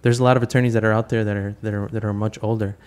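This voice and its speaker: A man's voice: fairly low pitch, little pitch movement, very fast, darkish tone, clean tone. A man in his thirties hosting a podcast from home, eager and friendly, often stumbling and repeating words as he asks questions. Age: 30s. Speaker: podcast host. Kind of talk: casual interview chat.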